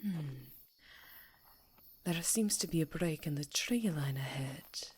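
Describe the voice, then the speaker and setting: A woman voicing a haughty, elegant vampire countess in a hushed, theatrical ASMR roleplay. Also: deep voice, expressive, heavily acted. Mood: wary. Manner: hushed, breathy, theatrical, slow and deliberate.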